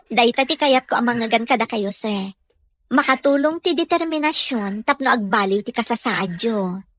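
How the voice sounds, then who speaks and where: A woman's voice: a little high, lively intonation, moderate pace, dry recording. A woman playing a patient, caring caregiver and mother in an Ilocano audio drama. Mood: encouraging. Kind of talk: Ilocano radio drama acting.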